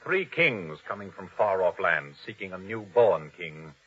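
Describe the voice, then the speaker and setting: A man's voice: low voice, big pitch swings, unhurried, pushed and bright, slightly rough, heavily acted. An actor playing a fearful, angry, scheming king with an imperious, commanding manner in a 1950s radio drama. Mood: anxious, scheming. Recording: dull old radio recording.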